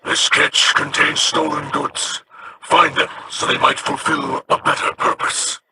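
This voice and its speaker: A man doing a solemn alien ally, gravelly, measured, slightly high, game dialogue with hiss. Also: little pitch movement, close to an everyday voice. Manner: formal, measured. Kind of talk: video game character dialogue.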